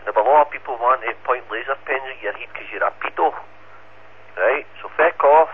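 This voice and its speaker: A man's voice: mid-range voice, a little fast, breathy edge. Male radio ham, central Scotland accent, mocking and foul-mouthed street banter, tinny narrowband transmission. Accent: Scottish, central Scotland. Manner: taunting, sweary, slangy. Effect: two-way radio. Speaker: amateur radio operator. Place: received over an FM radio repeater. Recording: phone-like narrowband radio, faint noise.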